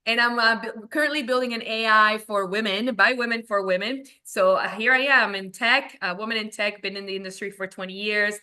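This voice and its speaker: A woman from Venezuela in her forties, a tech founder who gives lively interview answers and acts out her own past thoughts. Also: mid-range voice, fairly quick, hardly any pauses.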